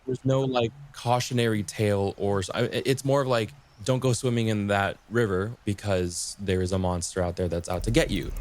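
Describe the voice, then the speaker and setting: A man explaining folklore in casual conversation as a podcast guest, haltingly rephrasing and repeating himself as he goes. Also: fairly low pitch, steady tone, a little mellow.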